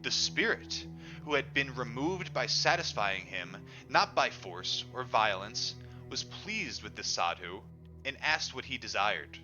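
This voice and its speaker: A man's voice: medium pitch, sing-song, measured pace. A man reading a book aloud as dramatic first-person narration, emphatic and theatrical, stretching the words he stresses. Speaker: audiobook reader. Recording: dull lo-fi recording with music behind. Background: music.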